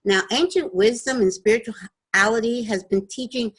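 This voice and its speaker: A woman's voice: fairly high pitch, normal speed, husky. A woman hosting an online radio show, earnest and enthusiastic as she opens the show and welcomes her guest. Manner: earnest and enthusiastic, with scripted-sounding openings.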